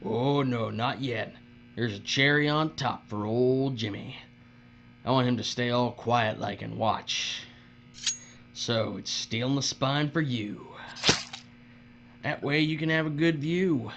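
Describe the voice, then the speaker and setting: A man's voice: medium pitch, slow pace, pauses often. A man playing a cruel, leering bully boss in a dystopian audio drama, with a taunting drawl and folksy, uneducated grammar. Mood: gloating, bossy. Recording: muffled audio with steady mains hum.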